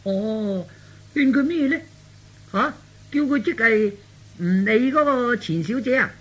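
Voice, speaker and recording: Old Cantonese dad, high thin voice, swooping intonation, easygoing, exclamatory, radio storytelling. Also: male voice, expressive, a little slow, a bit bright, heavily acted. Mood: nonchalant. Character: easygoing, exclamatory elderly father with grown son. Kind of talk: one-man radio storytelling.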